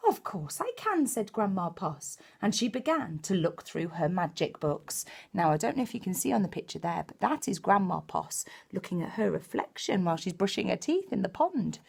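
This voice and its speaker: A woman reading a picture book to children like a primary teacher, expressive and exclaiming, with chatty asides. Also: fairly low pitch, moderate pace, creaky, few pauses, breathy edge. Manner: expressive, exclaiming, with gasps and hushed dramatic moments.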